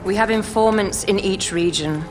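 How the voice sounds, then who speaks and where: An actress voicing a regal, commanding young exiled queen of Egypt in a video game, speaking formally and deliberately. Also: medium pitch, over music, natural voice. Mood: aggrieved, firm. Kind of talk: scripted video game cutscene acting.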